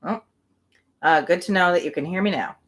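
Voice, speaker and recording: A woman's voice: on the low side, fairly quick, fairly bright tone. A woman hosting a live reading of a novel, reading aloud with chatty, wry asides. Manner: chatty, wry commentary between passages.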